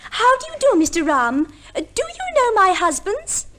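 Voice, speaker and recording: A high-pitched, swooping voice of a breezy upper-class wife in a vintage radio comedy. She is airy, theatrical and imperious, entirely pleased with herself. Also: big pitch swings, moderate pace, sharp tone, heavily acted. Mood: gracious, breezy. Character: breezy, self-absorbed upper-class English wife with two husbands. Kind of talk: 1950s radio comedy play acting.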